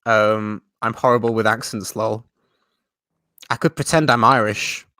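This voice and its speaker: A man's voice: fairly low pitch, fairly even intonation, measured pace, pushed and bright, no echo. A man from Yorkshire with a Yorkshire accent, hosting a casual live-stream chat and answering viewer comments. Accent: Yorkshire English. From Yorkshire, England. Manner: chatty, reads out viewer comments and answers them. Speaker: host.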